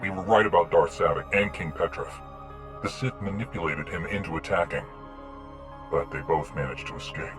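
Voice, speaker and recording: A man as a deep-voiced, commanding military officer. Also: normal speed, over music, lightly acted. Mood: businesslike. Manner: terse, authoritative. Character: commanding, self-assured male military commander. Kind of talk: video game cutscene acting.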